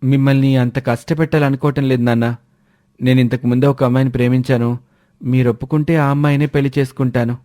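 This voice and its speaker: A man's voice: mid-range voice, flat delivery, fast talker, mellow, close to an everyday voice. A man voicing an earnest, cheeky young adult son in a cartoon moral story. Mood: reassuring.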